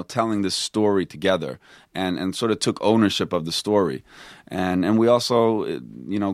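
Deep-voiced Swedish-raised man, halting talk. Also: no room sound, studio quality. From Sweden. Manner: halting, many restarts and fillers. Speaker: actor guest. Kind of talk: casual interview chat.

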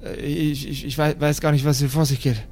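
A man's voice: a little high, little pitch movement, fairly quick, a little mellow, close to an everyday voice. Man playing a stammering, bewildered older man. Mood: frightened, confused. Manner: stammering, repeating words. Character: confused, frightened older naked man, just coming round. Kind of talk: improvised tabletop role-play acting.

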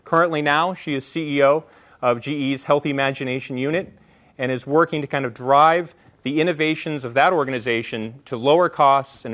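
A man at an event reading out a guest speaker's background, in a plain, even manner with the odd filler. His pitch is ordinary for a man. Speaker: event host. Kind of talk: speaker introduction.

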